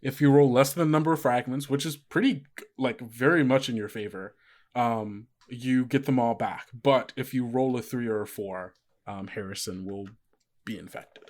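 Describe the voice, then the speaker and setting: Man casually running a tabletop game. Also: mid-range voice. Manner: informal, halting rules explanation. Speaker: game master. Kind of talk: casual actual-play narration.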